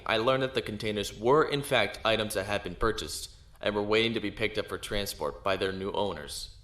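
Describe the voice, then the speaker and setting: An actor playing an assured, defiant space-navy lieutenant who argues formally in a science fiction audio drama. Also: male voice, fairly low pitch, a bit bright, natural voice. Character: defiant young male space-navy lieutenant defending himself. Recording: roomy echo.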